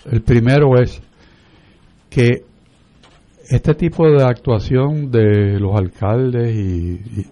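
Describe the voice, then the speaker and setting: A man with a Puerto Rican accent hosts a political radio talk, speaking slowly and deliberately. His voice is mellow and dark. The sound is dull and lo-fi. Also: mid-range voice. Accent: Puerto Rican Spanish. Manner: deliberate, measured.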